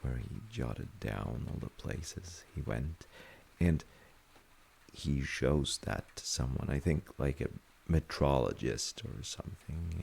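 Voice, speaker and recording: A man playing a gentle, thoughtful, reassuring dad in a soft-spoken comfort roleplay, hesitant and reflective, with a husky, gravelly voice. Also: extremely low pitch, slight background noise, exaggerated voice. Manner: hesitant, reflective, pausing mid-sentence. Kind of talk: soft-spoken sleep-aid audio roleplay.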